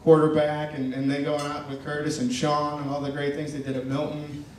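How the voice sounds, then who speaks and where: Young male best man, slightly high voice, halting and teasing wedding toast, roomy PA sound. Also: completely flat delivery. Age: young adult. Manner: halting, affectionate, teasing delivery. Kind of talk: informal joking wedding speech. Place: large wedding reception tent at night. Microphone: handheld PA mic, picked up by distant camera. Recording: distant echoey PA sound, noisy guest-filled tent. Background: noisy wedding guests.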